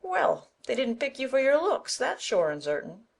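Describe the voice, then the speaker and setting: Woman doing a blunt stout older village woman, emphatic, lively swooping voice. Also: high-pitched, normal speed, creaky, pitched above the performer's own voice, heavily acted. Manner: emphatic, indignant and preachy.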